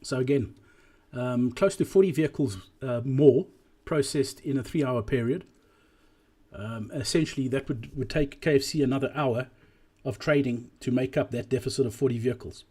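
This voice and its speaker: A man giving an explanatory business presentation as a voice-over, speaking deliberately and emphatically and repeating key phrases, with a slightly husky voice. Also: medium pitch, warm tone. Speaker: presenter analysing drive-through performance.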